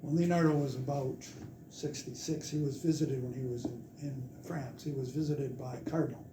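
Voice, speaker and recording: A man explaining a point step by step in a measured way during a seminar discussion. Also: medium pitch, steady tone. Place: lecture room. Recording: echoey, far from the mic, noisy.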